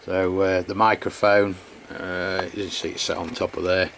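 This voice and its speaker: Low-pitched man over background noise. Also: flat delivery, measured pace, gravelly. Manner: unscripted, matter-of-fact. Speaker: radio equipment reviewer. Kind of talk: casual hands-on product review.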